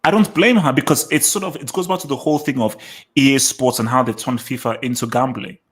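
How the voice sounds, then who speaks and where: A man hosting a casual podcast chat, arguing his point with animated emphasis and lots of repeated words. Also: mid-range voice, quick pace. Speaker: podcast host.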